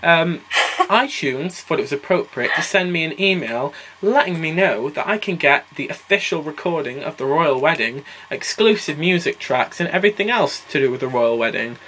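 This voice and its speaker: A man's voice: on the high side, expressive, normal speed, pushed and bright, rough recording. A young man hosts a casual podcast chat, teasing his co-hosts and jumping between topics. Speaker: podcast host. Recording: faint hiss and clicks.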